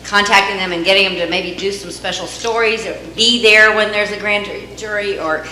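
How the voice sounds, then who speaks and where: Loud, bright-voiced woman in the audience. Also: mid-range voice, steady tone, fairly quick. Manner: rambling, searches for words. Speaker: audience member asking a question. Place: meeting room. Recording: echoey room recording.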